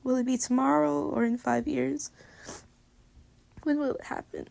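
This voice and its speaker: Woman acting a soft-spoken girlfriend, higher voice, slightly creaky. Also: steady tone, unhurried, full character voice. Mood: hesitant, vulnerable. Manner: teasing, hesitant, drops often into breathy whispers. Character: playful, affectionate girlfriend, secretly insecure. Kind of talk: intimate roleplay audio, pillow talk.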